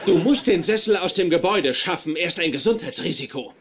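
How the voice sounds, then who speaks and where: An actor playing a fussy, germ-fearing young genius physicist in a dubbed sitcom, precise and insistent. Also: male voice, sits high, expressive, fast talker, background noise. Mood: urgent, insistent.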